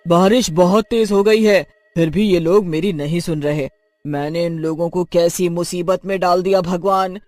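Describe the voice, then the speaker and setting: A man plays a female cartoon witch with a high voice. She is earnest and pleading, full of remorse and determined to do good. Soft music plays behind the dramatic delivery. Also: moderate pace, no room sound, clean studio sound, lightly acted. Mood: worried, urgent. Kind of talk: dramatic Hindi cartoon dialogue.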